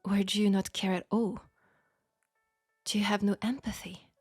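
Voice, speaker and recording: A woman with a French accent playing a frank, perceptive seamstress in an intimate steampunk audio drama, speaking softly and deliberately with pauses. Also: mid-range voice, fairly even intonation, no room sound, studio quality, faint music behind, natural voice. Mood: reproachful. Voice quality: soft, breathy. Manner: hushed, deliberate, with pauses and breathy sighs. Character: frank, perceptive young Parisian seamstress, gently reproachful. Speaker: audio roleplay performer.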